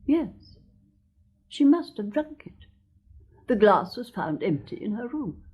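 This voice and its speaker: A woman's voice: fairly high pitch, very animated, takes it slowly, a little mellow, slightly rough, full character voice. An actress playing a dainty, genteel elderly widow who tells her story in earnest, precise sentences in a radio drama. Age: older. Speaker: witness.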